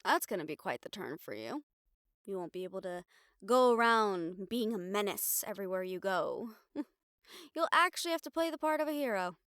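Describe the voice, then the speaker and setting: A woman's voice: mid-range voice, sing-song, normal speed, fairly bright tone, smooth, no echo, studio quality. A woman acting a weary, sardonic, stubborn young newspaper reporter in an intimate audio roleplay, talking in a casual, wry, sweary way. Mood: teasing.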